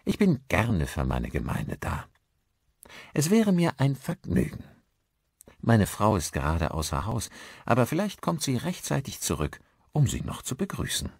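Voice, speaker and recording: A man's voice: medium pitch, huge pitch swings, measured pace, vocal fry, a little mellow, husky, no echo, studio quality, heavily acted. A man voicing a friendly, married village pastor in an audiobook, courteous and talkative. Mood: friendly, helpful.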